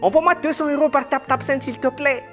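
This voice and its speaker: An eager young dreamer , played by a woman, speaks earnestly and pleads with a Malagasy French accent. Her voice sits high. Soft music runs underneath. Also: fairly even intonation, brisk. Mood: pleading. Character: young man, eager Astérix fan.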